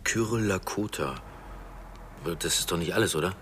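A man's voice: low voice, normal speed, a bit bright, a little hiss or hum. A man playing a reflective, guarded survivor in a conversational radio drama. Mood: curious, guarded. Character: reflective, guarded male jungle crash survivor.

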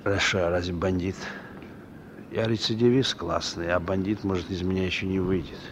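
An actor playing a wary, world-weary repeat thief, terse and streetwise, in a Soviet-era radio drama. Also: male voice, deep voice, fairly even intonation, brisk, lightly acted. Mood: weary, uneasy. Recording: noisy old radio recording.

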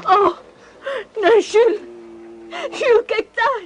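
Tender, slow-spoken anguished lady. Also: very high-pitched, crowd noise. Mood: alarmed. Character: tender, anguished married woman devoted to her wounded lover. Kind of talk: Dutch radio drama acting.

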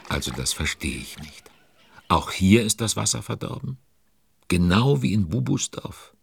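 An actor playing the playful narrator of a children's audio drama, expressive and conspiratorial, relishing the suspense. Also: male voice, lowish voice, measured pace, gravelly, slightly rough, exaggerated voice. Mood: baffled.

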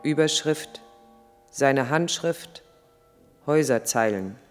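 An actor playing an earnest chronicler, narrating in a deliberate, literary 1980s German radio play style. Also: male voice, medium pitch, animated. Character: earnest male chronicler of a man's troubled life. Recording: long reverb, music in the mix.

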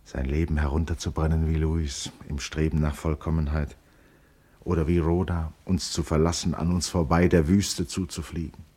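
Radio play role: brooding poetic man, very deep and husky. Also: flat delivery, moderate pace, a little mellow. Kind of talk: lyrical literary radio play monologue.

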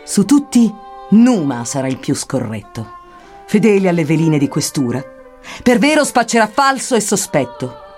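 Woman playing a stern guide through hell, lowish voice. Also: very animated, moderate pace, darkish tone. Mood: scornful, denouncing. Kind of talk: declaimed radio recitation of archaic verse. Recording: music under the voice. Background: music.

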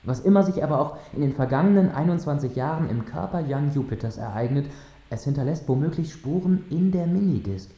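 A man playing a measured mission control lecturer, giving a formal address in a radio drama. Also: a little high, expressive, mellow. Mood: solemn, official. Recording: dull, echoing transmission sound.

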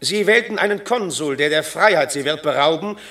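A scheming Roman tribune who stirs up the crowd with declaiming speech. His bright voice sits fairly high and moves a lot in pitch. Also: expressive, a little fast. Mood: urging. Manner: declamatory, urging. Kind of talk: classic stage radio drama.

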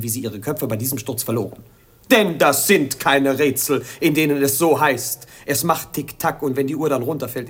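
A man's voice: mid-range voice, husky. A man playing a gleefully macabre imperial minister in a comic radio play, mocking and relishing each word theatrically.

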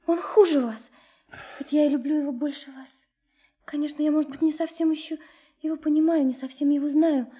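An actress in a radio drama playing a sincere, lovesick young woman, soft and hesitant, often dropping into a breathy whisper. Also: high voice, fairly even intonation, a little fast, close to an everyday voice. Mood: earnest, confiding. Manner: hesitant, earnest, confiding. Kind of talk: intimate radio drama acting.

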